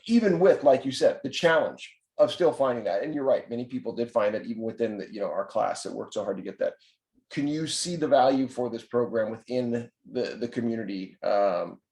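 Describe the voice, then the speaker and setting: A man's voice: mid-range voice, a little mellow, reverberant. A man running a program, interviewing a participant over a video call in a rambling way, with many fillers and restarts. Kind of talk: conversational interview.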